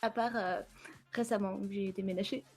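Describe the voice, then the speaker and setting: Une jeune femme du sud de la France, invitée d'une émission esport, qui parle de son parcours sur un ton joueur et moqueur envers elle-même, en riant souvent. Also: female voice, mid-range voice, fairly even intonation, moderate pace, slightly rough, soft background music. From southern France, near Aix-en-Provence. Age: young adult. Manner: playful, self-mocking, laughs often. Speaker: guest, esports team member. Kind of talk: casual interview chat.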